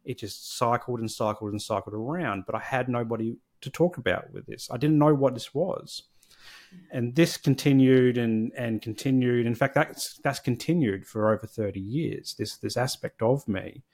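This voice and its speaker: A man's voice: medium pitch, moderate pace, darkish tone. A man, a podcast guest candidly and reflectively telling his personal story. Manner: candid, reflective, with frequent restarts.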